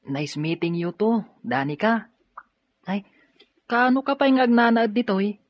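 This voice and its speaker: A woman's voice: medium pitch, measured pace, dry recording, close to an everyday voice. A woman with a Filipino accent acting a friendly, encouraging young relative in an Ilocano radio drama. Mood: friendly, curious. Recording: dry, dull lo-fi radio drama audio.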